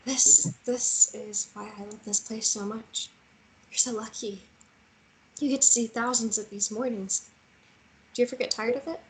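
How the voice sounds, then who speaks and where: A woman's voice: fairly high pitch, steady tone, moderate pace, long pauses, a little hiss or hum, natural voice, low-quality recording. Casting: woman to play a chatty, playful young female lead with a vulnerable streak, casual delivery, romantic fantasy drama read. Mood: wondering, teasing. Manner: casual, colloquial, teasing.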